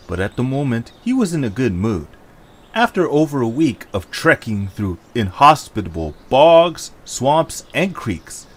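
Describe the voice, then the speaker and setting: A man's voice: medium pitch, slightly rough. A man narrating a scripted story for an audio drama.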